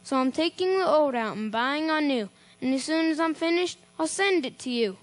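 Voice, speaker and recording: A woman's voice: high voice, animated, moderate pace, voice pushed up. A woman voicing a grumpy, scheming old man who barks and sneers his rhymed lines in a storybook. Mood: falsely sweet. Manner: barking, sneering rhymed delivery. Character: grumpy, scheming old male church-hater.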